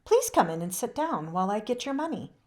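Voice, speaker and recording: A woman voicing a sweet, gentle old lady of ninety in a storybook. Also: mid-range voice, very animated, a little fast, natural voice. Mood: welcoming. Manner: gentle, kindly, polite.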